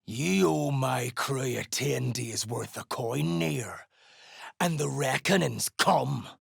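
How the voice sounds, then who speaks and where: An actress playing a foul-mouthed, menacing female duergar mercenary boss in a video game, with a gruff, growling, threatening delivery. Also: a bass voice, takes it slowly, dry recording, studio quality, full character voice. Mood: angry, threatening. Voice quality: gravelly, growling. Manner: gruff, crude, slangy, threatening. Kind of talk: video game character dialogue.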